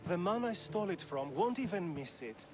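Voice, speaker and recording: A man's voice: sits high, expressive, moderate pace. An actor playing a poor male farmer caught stealing a horse, defensive and pleading.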